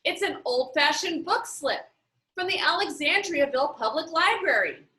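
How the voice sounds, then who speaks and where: A woman reading the part of an eager, excitable, slangy boy in a children's storybook. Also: high-pitched, moderate pace. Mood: explaining. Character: eager, enthusiastic boy on a game team. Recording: roomy, echoey sound.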